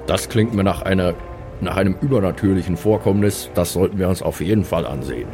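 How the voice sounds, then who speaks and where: A man's voice: low voice, quick pace, warm tone, faint music behind. A man improvising in role as a player in a tabletop game podcast.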